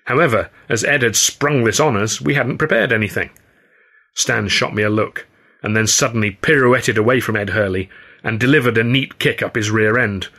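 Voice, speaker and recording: Male audiobook narrator, first-person fiction, expressive, bright tone with some rasp. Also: on the low side, normal speed. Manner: expressive storytelling with acted dialogue. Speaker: author reading own novel.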